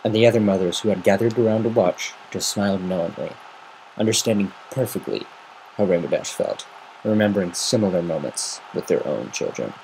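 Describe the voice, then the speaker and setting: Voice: deep voice, a little fast, a little mellow. An amateur reader giving an earnest, semi-dramatic narration of a fan fiction story on a noisy home recording.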